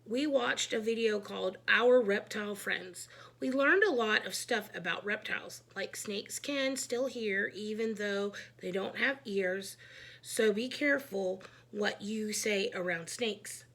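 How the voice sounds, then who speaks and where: Lady reading a kids chapter book out loud, bright voice, really expressive and emphatic. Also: medium pitch, unhurried. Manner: animated, emphatic. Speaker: read-aloud storyteller. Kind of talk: expressive children's book narration as a schoolboy narrator.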